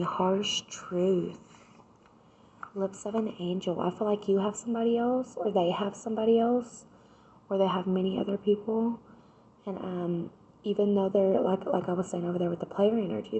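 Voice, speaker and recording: A woman, hoarse from a cold, doing a casual tarot reading and chatting straight to her viewers. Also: mid-range voice, mellow, a little hiss or hum, poor audio. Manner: chatty, addresses viewers directly with repeated "right?". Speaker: tarot reader.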